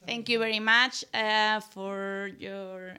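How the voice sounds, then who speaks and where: A woman's voice: medium pitch, steady tone, slow pace, bright, forceful tone, smooth. A woman guiding an informal interview in hesitant, self-correcting non-native English.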